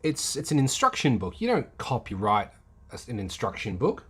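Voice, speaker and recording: A man's voice: medium pitch, wildly swooping pitch, normal speed, slight background noise. Rambling man chatting with a livestream. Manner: rambling, many fillers and restarts; reads and replies to chat comments. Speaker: livestream host answering chat. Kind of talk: casual live chat.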